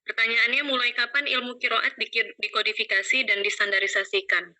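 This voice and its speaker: A woman's voice: on the high side, level tone, fairly quick, bright, forceful tone. A woman on a video call politely reading out a question from the chat. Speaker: student relaying chat question.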